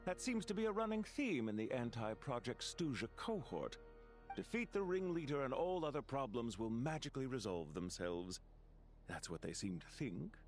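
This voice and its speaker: A man's voice: medium pitch, sing-song, moderate pace, faint music behind, close to an everyday voice. A man playing an argumentative, condescending politician in a game, speaking in pointed, sardonic rhetorical jabs. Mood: scornful.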